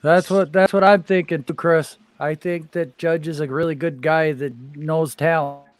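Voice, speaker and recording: A man hosting a casual sports livestream, cracking quick teasing jokes with his co-hosts. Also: a little high, fairly even intonation, dry recording. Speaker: stream host. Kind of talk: casual sports stream chat.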